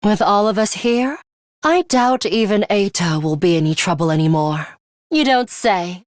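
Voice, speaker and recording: A woman voicing a ruthless, blunt high school girl fighter in a video game, composed, deadpan and commanding. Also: normal speed, no room sound, clean studio sound, lightly acted. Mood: cool, dismissive. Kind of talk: scripted English game voice acting.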